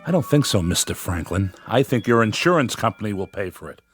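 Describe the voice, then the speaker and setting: An actor playing a confident, wry and defiant small-town newspaper editor in a radio drama. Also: male voice, fairly low pitch, very animated, fairly quick, husky, faint music behind.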